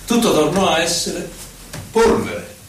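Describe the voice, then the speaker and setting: An actor playing a melancholy, haunted poet who recalls his life in solemn, deliberate theatrical verse with dramatic pauses. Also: high-pitched, slight background noise. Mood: somber, grieving. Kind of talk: theatrical poetry recitation. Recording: echoey hall from far off, faint music. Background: faint music, violin.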